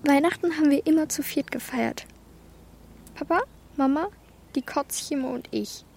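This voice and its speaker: A blunt, bold girl in a children's radio drama. Her voice is very high and her talk is slangy, with grief under it. Faint background ambience sits behind her. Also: normal speed, creaky, a little mellow, a little hiss or hum. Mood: bitter grief. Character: Martha, blunt, bold, grieving young girl. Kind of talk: children's radio play acting.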